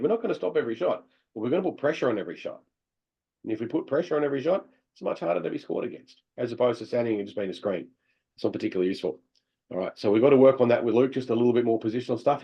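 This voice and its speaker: A man coaching amateur hockey players over a call, talking through game footage in an animated way and laughing often. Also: medium pitch, husky, muffled recording. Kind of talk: video review of game footage. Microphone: video call.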